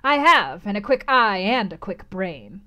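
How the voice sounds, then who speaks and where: Woman putting on a harsh old crone fortune teller, bold and decided, loud and knowing. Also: medium pitch, big pitch swings, a little slow.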